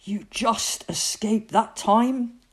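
A woman's voice: fairly high pitch, slow, slightly rough, voice pushed up. A woman voicing a loving, encouraging storybook mother who plays along with her son in a theatrical, exclamatory way. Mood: reassuring. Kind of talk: storybook character voice.

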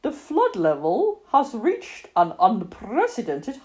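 A woman's voice: a little high, wildly swooping pitch, takes it slowly, a little mellow, heavily acted. A woman voicing a pompous, formal, long-worded male owl from a children's storybook. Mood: pompous, declaiming.

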